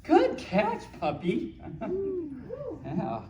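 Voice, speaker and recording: A playful storyteller reading picture books aloud to a small audience, with childlike, cutesy phrasing and excited asides. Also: mid-range voice, extremely slow. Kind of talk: playful live story time with ad-libbed asides. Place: small room at a convention. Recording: echoey room from far off, faint noise.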